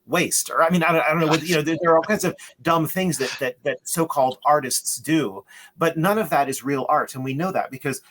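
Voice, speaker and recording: A man's voice: on the high side, a little fast, a bit bright, low-quality recording. A man as an interview guest, explaining in an emphatic, lecturing way with rhetorical checks. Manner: emphatic, lecturing, asks "right?". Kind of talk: conversational interview.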